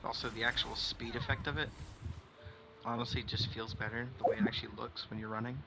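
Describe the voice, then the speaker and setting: A man's voice: medium pitch, little pitch movement, quick pace, creaky, heavy background noise. A man giving casual, musing gameplay commentary, often correcting himself as he goes. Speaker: game streamer. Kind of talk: casual gameplay commentary, reading out dialogue choices.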